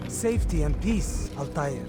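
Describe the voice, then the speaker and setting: A man's voice: on the high side, lively intonation, a little slow, over loud music. An actor playing a cautious, brotherly male assassin informant, speaking formally and with measure in a video game. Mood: guarded. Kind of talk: dramatic video game dialogue.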